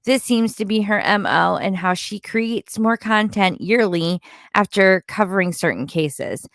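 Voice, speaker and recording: A woman commentator recaps events in a disapproving, opinionated way. Her voice has a noticeable gravelly creak at a middling pitch. The sound is dry, with very little room to it. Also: a little slow, a bit bright, no echo. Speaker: true-crime commentary content creator. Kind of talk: opinionated news-recap commentary, partly scripted.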